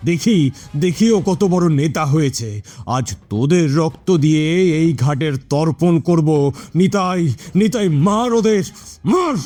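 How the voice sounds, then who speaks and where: A man's voice: a little high, animated, unhurried, darkish tone, soft background music. A man playing a ruthless village landlord in a cartoon, giving threatening, commanding orders and repeating them. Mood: murderous.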